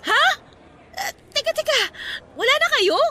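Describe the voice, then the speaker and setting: Woman acting as expressive young Filipino bride-to-be, radio drama, high bright voice, chatty delivery. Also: normal speed, a little hiss or hum, heavily acted. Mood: shocked, concerned. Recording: faint music behind voice.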